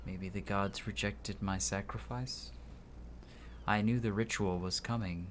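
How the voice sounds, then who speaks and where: A man's voice: on the low side, steady tone, a little slow, a little hiss or hum, close to an everyday voice. A man voicing a lost, grieving married man in a video game, mournful and earnest.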